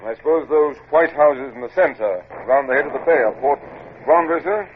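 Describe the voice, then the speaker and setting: A man's voice: a little high, normal speed, close to an everyday voice. An actor playing a loyal, hearty British naval first lieutenant in an old radio adventure drama, formal and deferential to his superior. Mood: observant. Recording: tinny, noisy vintage radio recording.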